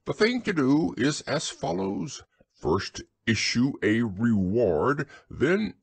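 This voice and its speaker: A man's voice: medium pitch, very animated, slow pace, gravelly, slightly rough, no echo, exaggerated voice. A man voicing a pompous, self-important owl in a storybook, speaking formally in long words. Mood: pompous, explaining. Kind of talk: storybook character reading.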